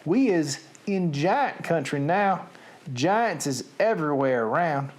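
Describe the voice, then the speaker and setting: A man voicing a big, boisterous storybook giant with a Southern US accent, booming out broken grammar. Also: animated, slow. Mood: boisterous, boastful. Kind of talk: storybook character reading.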